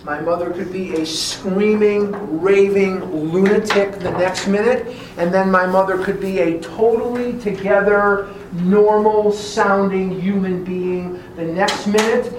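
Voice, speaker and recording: Chicago guy sharing his story to a group, really echoey room, kinda high voice, emphatic. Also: fairly even intonation. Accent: Chicago American. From Chicago, USA. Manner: emphatic, deliberate, repeats phrases for effect. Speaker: guest speaker at recovery meeting. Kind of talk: personal testimony speech to a group. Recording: very echoey room, distant mic, clear background noise.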